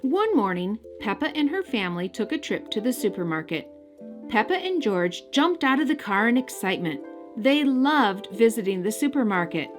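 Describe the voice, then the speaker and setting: A woman hosting a children's story time, narrating a scripted picture book in a deliberate, expressive style. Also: fairly high pitch, over music. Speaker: storyteller, host.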